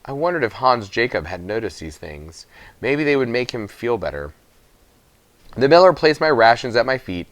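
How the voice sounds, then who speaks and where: A man reading a children's story aloud as narrator, with expressive, dramatic emphasis on phrases. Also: medium pitch, normal speed, no room sound. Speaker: audiobook reader.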